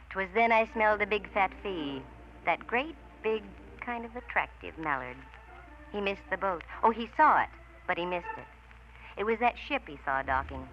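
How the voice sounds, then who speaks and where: A woman's voice: fairly low pitch, lightly acted. An American woman playing a wisecracking, hard-boiled female private eye in a vintage radio noir drama. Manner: sardonic, slangy wisecracks. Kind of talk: 1940s-50s radio noir acting and narration. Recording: noisy, dull vintage radio recording.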